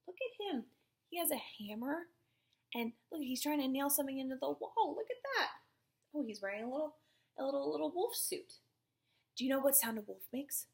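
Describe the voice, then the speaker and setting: A young woman with a high, swooping voice reads a storybook to small children. She is animated and interactive, putting questions to the listener and pausing for answers. At times she slips into hushed, breathy asides. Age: young adult. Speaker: reader of a children's picture book.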